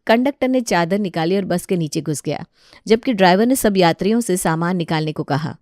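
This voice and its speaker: A woman's voice: fairly low pitch, lively intonation, a little fast, a little mellow, dry recording, clean studio sound. A woman reading scripted narration of a comic family story as its narrator.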